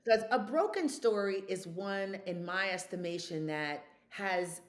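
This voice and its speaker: A woman's voice: medium pitch, a little slow. Emphatic middle-aged woman guest, tells stories, asks rhetorical questions, chatty. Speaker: guest, consultant. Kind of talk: podcast conversation.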